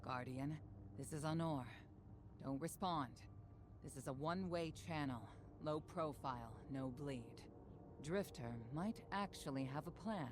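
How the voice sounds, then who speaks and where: A woman's voice: deep voice, unhurried. A woman playing a stern, mistrustful field commander in a video game, speaking in a clipped, commanding, scornful way. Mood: urgent, guarded. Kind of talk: scripted video game dialogue. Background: game music.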